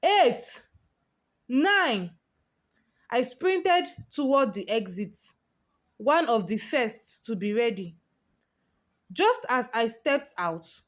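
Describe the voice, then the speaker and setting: A woman's voice: on the high side, big pitch swings, slow pace. A woman storyteller giving a dramatic, scripted first-person narration.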